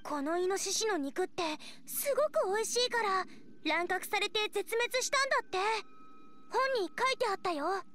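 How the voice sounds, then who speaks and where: Anime game girl cook: very high, swooping, bubbly, excitable about food; music behind. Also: moderate pace, a little hiss or hum. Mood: cheerful. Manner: bubbly, exclamatory. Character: cheerful young girl cook excited about rare ingredients. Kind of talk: Japanese anime game voice acting.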